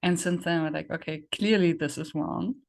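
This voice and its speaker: A woman's voice: fairly low pitch, fairly even intonation, moderate pace, vocal fry. A woman with a German accent, a native German speaker, chatting reflectively as an interview host and searching for her words. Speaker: podcast host and facilitator. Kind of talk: conversational interview chat.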